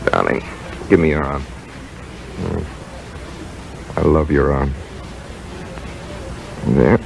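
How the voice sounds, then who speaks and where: Deep male voice, devoted earnest doctor, 1930s radio play, old broadcast with music. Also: slow pace, creaky, a little mellow, exaggerated voice, rough recording. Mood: tender, concerned. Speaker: actor in a radio drama. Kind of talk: 1930s American radio drama acting, dialogue and first-person narration.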